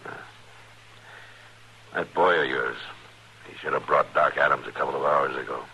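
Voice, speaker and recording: Stern western marshal, super low voice, bright forceful edge, old noisy radio show. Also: male voice, moderate pace, exaggerated voice. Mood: grave, weary. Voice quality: deep, gravelly. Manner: terse, authoritative. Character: stern, commanding frontier marshal, deep-voiced and protective.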